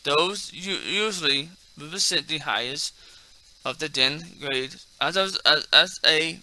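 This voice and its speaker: A man hosting a martial arts video in a casual, halting way, repeating and stumbling over words, with a slight speech impairment. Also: on the high side, pushed and bright, rough recording. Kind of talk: casual talk mixed with halting reading aloud from a text.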